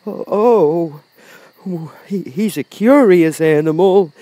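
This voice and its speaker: A man voicing a big cowardly lion from a storybook, ashamed and easily astonished, with a drawn-out, halting delivery. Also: high-pitched, expressive, dark tone, no room sound, pitched above the performer's own voice, full character voice. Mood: astonished, curious. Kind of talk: storybook character voice.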